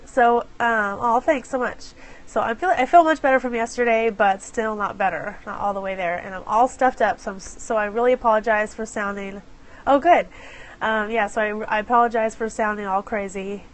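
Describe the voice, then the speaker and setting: Woman, stuffed-up nose from a cold, somewhat high voice, chatty live stream host, apologetic, phone mic. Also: moderate pace, low-quality recording. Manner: chatty, apologetic, greets viewers by name. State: congested with a head cold. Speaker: live stream host for small business owners. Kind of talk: casual live stream chat. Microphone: phone camera.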